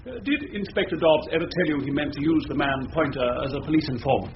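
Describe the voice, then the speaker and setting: A man's voice: fairly high pitch, animated, fast talker, lightly acted. An actor playing a prosecuting barrister in a radio courtroom drama, formal and methodical in his questioning. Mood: formal, probing. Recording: noisy, muffled radio drama recording.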